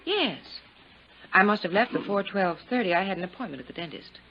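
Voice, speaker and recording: A worldly widow played by an actress, cool and guarded yet lively in pitch. She gives controlled answers in 1950s radio drama style, on a dull recording with faint noise. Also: a little fast, lightly acted. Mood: guarded, composed. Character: composed, worldly young-to-middle-aged widow giving testimony.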